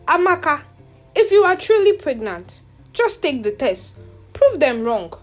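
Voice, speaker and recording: A woman's voice: sits high, very animated, unhurried, soft background music. A woman voicing an uneasy, doubting young man in a story, hesitant and serious. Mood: uneasy insistence.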